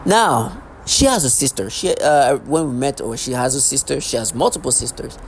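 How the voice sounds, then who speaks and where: A man's voice: medium pitch, animated, moderate pace, a little hiss or hum. A man in his thirties talking in a rambling, repetitive, agitated monologue as a vlogger. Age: 30s. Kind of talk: unscripted monologue to camera.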